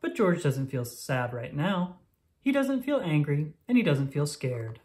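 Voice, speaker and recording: A woman reading a children's picture book aloud in an expressive, deliberate storytelling manner for young listeners. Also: sits low. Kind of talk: scripted read-aloud narration of a picture book.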